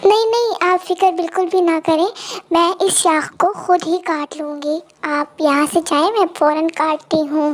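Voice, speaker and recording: A woman voicing a gentle, long-suffering mother parrot in a children's moral story, with a polite, apologetic manner. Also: very high-pitched, fairly even intonation, moderate pace, pitched above the performer's own voice. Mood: polite, anxious.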